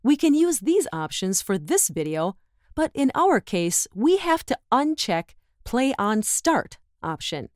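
A woman narrates a scripted tutorial, giving step-by-step instructions. Her pitch moves up and down in an animated way. The recording is dry, as from a close voice-over microphone. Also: measured pace, no echo.

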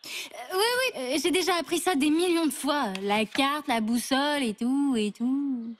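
A woman's voice: high voice, animated, normal speed. A woman voicing a flippant, self-satisfied teenage girl in a French children's cartoon. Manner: dismissive, breezy, self-satisfied. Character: cocky, flippant teenage schoolgirl trainee.

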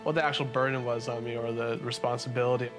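A man's voice: medium pitch, little pitch movement, fast. A young man casually recounting his own survival story in an interview, plain-spoken and matter-of-fact. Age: young adult. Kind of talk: casual first-person interview. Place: dark interview studio. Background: loud music.

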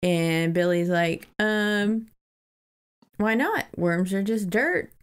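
A woman's voice: medium pitch, expressive, takes it slowly, clear, resonant tone. A woman co-hosting a podcast, chatting casually about a book. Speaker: podcast co-host.

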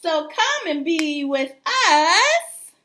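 A young woman teacher reading aloud to small children in a rhythmic, sing-song rap, animated and encouraging. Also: extremely high pitch, drawn-out words. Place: small plain room indoors. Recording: faint background noise.

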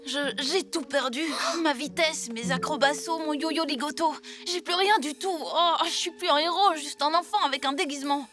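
A woman's voice: sits high, moderate pace, hardly any pauses, music in the background, lightly acted. A woman voicing an earnest, brave young boy superhero in a French cartoon dub. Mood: dejected.

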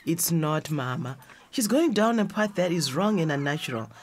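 A woman's voice: low voice, lively intonation, normal speed, a little hiss or hum. A South African woman playing a troubled, traditional middle-aged mother in a radio drama. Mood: troubled, disapproving. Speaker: actor.

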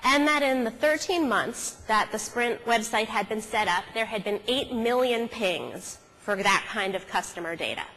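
A woman speaking as a keynote speaker at a conference, telling case stories conversationally with halting self-corrections, from a podium on an auditorium stage. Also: a little high, lively intonation, a bit bright. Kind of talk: conference talk, conversational storytelling. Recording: hall reverb from stage sound system.

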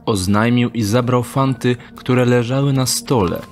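Slow, measured male narrator over music. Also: medium pitch. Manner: measured, descriptive. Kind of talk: scripted audio drama narration.

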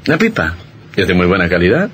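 An actor playing an earnest young doctor, a devoted and reassuring husband, in a melodramatic radio drama. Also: male voice, low voice, wildly swooping pitch, fairly quick, fairly bright tone, a little hiss or hum, exaggerated voice. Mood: light. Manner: earnest, affectionate.

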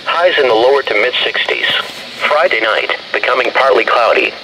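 Automated male weather announcer: synthetic, measured, mid-range voice, heard over a handheld radio with plain background noise. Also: medium pitch, lively intonation. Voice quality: synthetic text-to-speech voice. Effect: played through a handheld radio speaker. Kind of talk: scripted weather bulletin narration. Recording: noisy handheld radio speaker in car.

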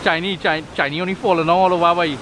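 A man with a Trinidadian accent vlogging a casual outdoor day by the sea, chatty and relaxed, on an action camera. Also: high-pitched, fast talker, crowd noise. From Trinidad and Tobago. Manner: relaxed, chatty, Creole phrasing. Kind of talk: casual outdoor vlog narration. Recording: wind and surf noise on a camera mic.

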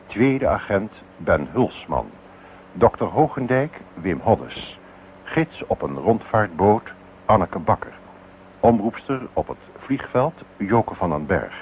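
A man's voice: on the low side, very animated, slow pace. A man working as a radio announcer, formally reading closing credits. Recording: tinny old radio recording, faint noise.

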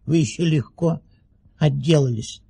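An actor playing a courteous, admiring aristocratic guest with polite, ornate theatrical delivery. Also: male voice, on the high side, unhurried, gravelly, warm tone, husky, heavily acted. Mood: courteous, wry. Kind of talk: theatrical radio play acting.

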